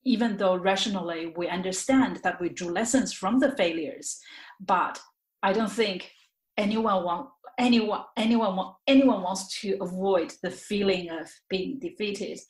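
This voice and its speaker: Woman reflecting haltingly in an echoey room. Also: medium pitch. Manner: halting, repeats words and phrases as she searches for them. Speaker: interview guest, author. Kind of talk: reflective, candid interview conversation. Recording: roomy, echoey sound.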